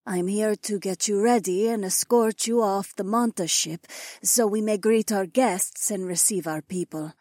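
Woman as a breathy, submissive catwoman. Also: mid-range voice, a little slow, no room sound, studio quality, lightly acted. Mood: meek, careful. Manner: soft, hesitant, formally deferential. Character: submissive, loyal catwoman wife of a lord. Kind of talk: acted audiobook dialogue.

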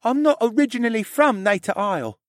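A man voicing an anxious, tearful young stable boy in an audiobook, with a rough, halting, stammering and pleading delivery. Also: very high-pitched, lively intonation, dry recording, clean studio sound, pitched above the performer's own voice. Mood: hesitant, confiding. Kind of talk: audiobook character acting.

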